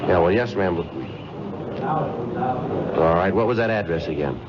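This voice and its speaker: Deep-voiced, deadpan radio police detective. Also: male voice, slow pace, very noisy. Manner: deadpan, terse. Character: stoic, matter-of-fact male police detective sergeant. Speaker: lead actor and narrator. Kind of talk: 1950s radio acting, clipped scripted narration. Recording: dull vintage 1950s radio recording.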